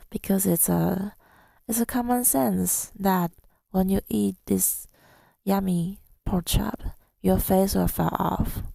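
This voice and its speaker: A woman telling a story in soft-spoken ASMR style, gentle and unhurried, slipping toward a breathy whisper, close to the microphone. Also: mid-range voice, dry recording. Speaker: ASMR audio creator.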